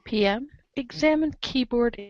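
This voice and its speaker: A woman's voice: on the high side, a little slow, a little mellow, highs cut off. A woman reading a webcomic script aloud as a reader's theater performer, with emphatic, playful exasperation. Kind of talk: animated dramatic reading of a webcomic, in second person.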